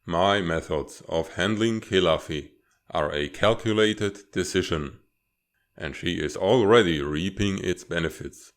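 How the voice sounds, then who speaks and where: A man acts out a serpent-dragon leader and father with a very deep voice. He speaks slowly, in formal, measured phrases. He is stern, guarded and used to command, in dramatic comic fandub style.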